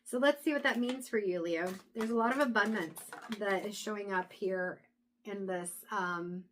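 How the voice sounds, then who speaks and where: A woman giving a casual intuitive tarot reading to camera, chatty and thinking aloud as she goes, her voice going hoarse and breaking into throat clears. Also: medium pitch, normal speed, slight background noise. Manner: chatty, thinks aloud, frequent fillers and restarts. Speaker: tarot reader.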